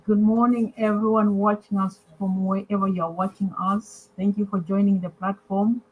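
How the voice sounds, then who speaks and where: An older woman from Zimbabwe, now a student, introducing herself in a warm, courteous way. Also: mid-range voice, little pitch movement, moderate pace, slightly rough. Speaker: panel guest, student. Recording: tinny phone-like line with hum.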